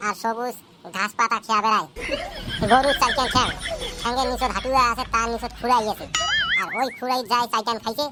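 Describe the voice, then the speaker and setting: A woman's voice: fairly high pitch, steady tone, fast talker, close to an everyday voice. Woman voicing a rattling, cheeky cartoon school pupil, North Bengal accent. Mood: eager reciting. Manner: brash, rattling recitation and backtalk. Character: cheeky, boastful, talkative village school pupil. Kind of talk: comic cartoon dubbing. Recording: loud noise behind the voice.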